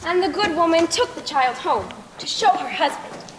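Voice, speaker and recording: A woman's voice: very high voice, animated, moderate pace. A young teen girl narrating a scripted folk tale as storyteller on a school stage. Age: young teen middle schooler. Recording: echoey hall with faint noise.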